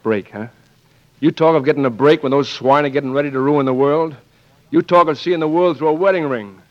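An actor playing a brash, romantic American flyer, slangy and sardonic, in 1940s radio drama style. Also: male voice, a little high, brisk, close to an everyday voice. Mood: bitter, sarcastic. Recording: muffled, noisy 1940s radio recording.

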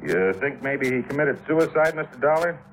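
A man's voice: medium pitch, animated, normal speed, close to an everyday voice, rough recording. An American actor playing a blunt, slangy, defensive sound effects man in a 1950s radio drama.

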